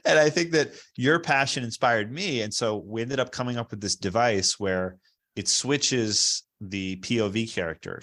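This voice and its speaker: A man's voice: fairly low pitch, fairly bright tone. An American man hosting a casual interview, talking in a reflective, explanatory way. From Baltimore, US East Coast. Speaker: podcast host, producer.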